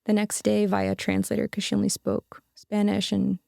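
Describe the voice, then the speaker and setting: A woman's voice: mid-range voice, completely flat delivery, moderate pace, vocal fry, darkish tone, no echo, studio quality. A young adult woman, a podcast guest, telling a personal story in an earnest, casual way with many fillers and restarts.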